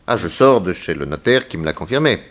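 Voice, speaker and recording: An actor playing a shrewd, insistent private detective in a 1960s French radio serial, with a probing, ironic, theatrical manner. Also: male voice, deep voice, very animated, brisk, a bit bright. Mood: pressing, accusing.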